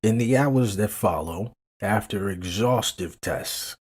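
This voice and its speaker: A man's voice: on the low side, takes it slowly, darkish tone, husky. A man giving theatrical, portentous scripted narration of a comic book story.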